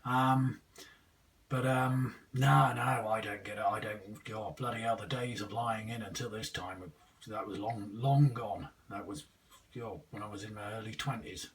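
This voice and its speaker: A man's voice: lowish voice, steady tone, a little slow, far from the mic, a little hiss or hum, low-quality recording. A young man chatting casually over CB radio, hesitant, rambling and full of slang. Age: 20s. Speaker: amateur CB radio operator testing a new radio. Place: small indoor room with radio gear.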